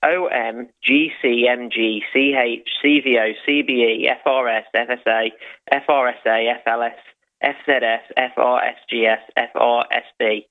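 Hesitant Midlands caller on a phone line. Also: male voice, mid-range voice, fairly even intonation, normal speed. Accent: English Midlands. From English Midlands. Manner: hesitant, full of restarts. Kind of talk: casual phone-in chat.

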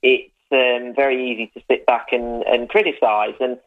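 A man from the English Midlands with a Midlands accent, calling in to a radio show and chatting in a halting way with many restarts. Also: mid-range voice, steady tone. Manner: hesitant, full of restarts. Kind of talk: casual phone-in chat. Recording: tinny phone line.

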